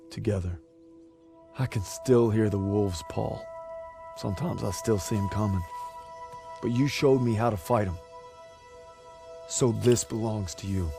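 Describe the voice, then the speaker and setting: A gravelly war-weary soldier. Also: male voice, lowish voice. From Texas. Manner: quiet, reflective, grave. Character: war-weary young American soldier, grieving brother. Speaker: soldier, game protagonist. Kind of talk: game cinematic voice acting. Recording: voice under loud score. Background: music.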